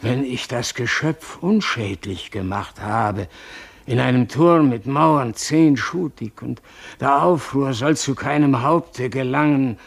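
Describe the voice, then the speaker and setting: Tormented, imperious king, slow anguished declamation, creaky voice, theatrical radio drama. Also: mid-range voice, heavily acted. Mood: grave, resolute. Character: tormented, fearful, imperious king haunted by prophecy. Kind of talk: German radio play, theatrical dramatic acting.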